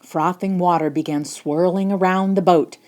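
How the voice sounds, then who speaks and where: A woman narrating a children's picture book in an expressive storytelling style. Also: on the low side, moderate pace. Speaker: storybook reader.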